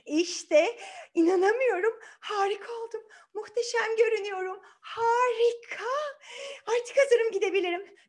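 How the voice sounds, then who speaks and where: A woman's voice: very high voice, a little slow, darkish tone, pitched above the performer's own voice. A woman voicing a sweet, amazed young fairy-tale heroine with gushing exclamations. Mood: delighted, amazed.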